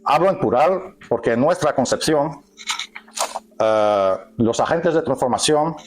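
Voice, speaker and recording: A man's voice: medium pitch, animated, unhurried, a little hiss or hum. A man lecturing a group in a language that is not his first, stopping often to search for words and correct himself. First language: not Spanish. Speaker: workshop speaker. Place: meeting hall with an audience.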